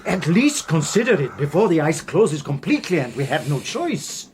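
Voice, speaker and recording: An actor playing a worried, deferential ship's captain, polite but urgent, in a gothic radio drama. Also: male voice, a little high, big pitch swings, moderate pace. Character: anxious, deferential ship's captain pressing a warning. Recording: noisy ambience behind voice.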